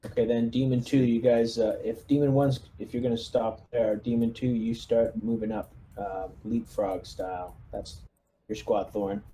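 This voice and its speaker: A man's voice: mid-range voice, fairly even intonation, moderate pace, mellow, poor audio. A man playing a tabletop game, casually giving tactical orders to the other players and asking about rules. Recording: faint background noise.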